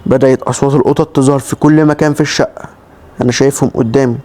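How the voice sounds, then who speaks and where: A man's voice: medium pitch, fairly even intonation, racing, dark tone. A man with an Egyptian Arabic accent telling a first-person horror story in a dramatic, tense scripted narration.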